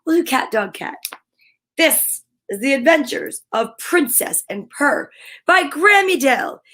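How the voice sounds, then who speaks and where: A woman's voice: sits high, big pitch swings, unhurried, fairly bright tone. A woman hosting a live children's story time, reading aloud and chatting to viewers in an upbeat, gushing, encouraging way.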